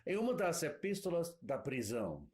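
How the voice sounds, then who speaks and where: A man's voice: a little high, sing-song, moderate pace, darkish tone. A man interpreting a sermon live in a church, searching for words with restarts and fillers.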